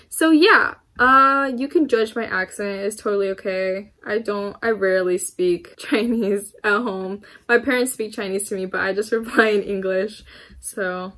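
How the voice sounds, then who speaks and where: A woman's voice: mid-range voice, measured pace, a bit bright. An eighteen-year-old woman answering viewer questions in a casual vlog from her room, rambling with lots of fillers and little laughs. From United States. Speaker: YouTuber. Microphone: camera in front of her, laptop beside it.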